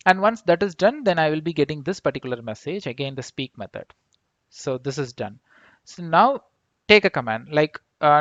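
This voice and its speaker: A man's voice: medium pitch, fairly bright tone, no room sound, lo-fi sound. A man with an Indian accent presenting an informal programming tutorial, explaining step by step in a repetitive way and checking in with "right?". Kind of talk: informal screencast code walkthrough.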